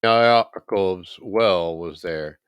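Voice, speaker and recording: A man giving a solemn, scripted reading of scripture, with measured, emphatic and dramatic phrasing. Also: deep voice, smooth, dry recording, lo-fi sound. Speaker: scripture reader.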